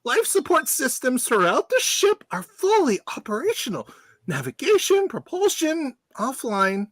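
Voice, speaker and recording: Woman doing excitable sci-fi officer, radio play, slow drawn out delivery, bit husky, theatrical. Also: high-pitched, no echo, clean studio sound, exaggerated voice. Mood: reporting. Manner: theatrical, exclaiming. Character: excitable starship officer working the computer consoles. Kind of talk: amateur podcast radio play reenactment.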